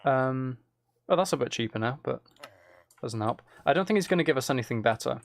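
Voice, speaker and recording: A man's voice: mid-range voice, expressive, a little fast, vocal fry. A man live streaming, giving casual gameplay commentary and musing aloud as he chats to viewers.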